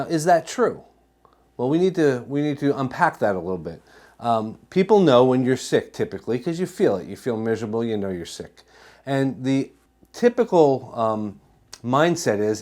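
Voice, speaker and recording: An American man with a mid-pitched voice gives an educational talk to an audience. He speaks emphatically, leaning on rhetorical questions and repeating key phrases to drive each point home. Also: darkish tone. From New Jersey, United States. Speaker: chiropractor giving a health talk.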